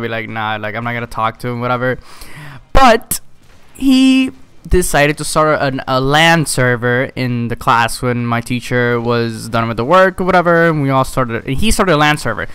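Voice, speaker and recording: Teen male YouTuber, casual rambling storyteller, smooth clear voice, mid pitch, exasperated asides. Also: lively intonation, normal speed, fairly bright tone, no room sound. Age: teenager. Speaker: video game YouTuber.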